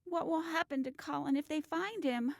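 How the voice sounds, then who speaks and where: A teen girl, high voice, takes her time, defensive and stubborn, dry radio drama sound. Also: moderate pace, gravelly, no room sound. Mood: worried. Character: guarded, defiant teenage girl protecting her boyfriend. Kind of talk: audio drama acting.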